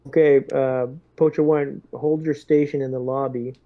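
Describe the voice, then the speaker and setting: A man's voice: medium pitch, flat delivery, normal speed, no room sound, narrow, tinny audio. A man playing a squad leader in a tabletop game, handing out instructions hesitantly and casually. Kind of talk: casual in-character game talk.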